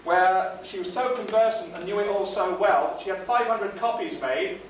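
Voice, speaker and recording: A man's voice: sits high, normal speed, lo-fi sound, crowd noise. A man giving an anecdotal, unscripted address to an audience at an event. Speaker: event speaker. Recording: very echoey distant room recording, noisy.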